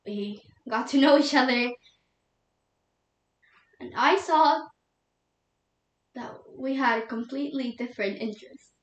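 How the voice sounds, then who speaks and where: A woman performs a young widow lost in grief and doubt. Her voice is high and emotional, with halting, pleading delivery. It is a solo dramatic monologue, heard in a reverberant room. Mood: wistful reminiscing. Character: grieving young widow, tearful and doubting God. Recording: echoey room.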